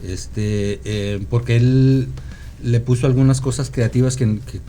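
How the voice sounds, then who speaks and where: A Mexican man from Guadalajara talks casually in a relaxed, mellow voice. He tells his story haltingly, circling back and checking in as he goes. A faint noise sits behind him. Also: mid-range voice. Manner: halting, meandering storyteller who seeks confirmation with "¿no?". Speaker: podcast guest, rock musician. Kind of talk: casual reminiscing conversation.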